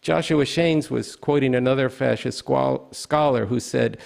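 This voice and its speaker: A man with a creaky, gravelly voice hosts a political discussion. He speaks with emphasis and urgency, in a halting way that often restarts mid-thought. His pitch sits in an ordinary male range. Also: a little mellow. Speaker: host and political activist. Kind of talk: impassioned political conversation at a streamed event.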